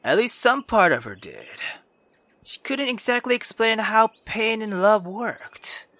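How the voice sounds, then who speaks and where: A woman's voice: on the high side, sing-song, unhurried, fairly bright tone, no room sound. A woman reading a visual novel aloud as a game streamer, in a dramatic storytelling style.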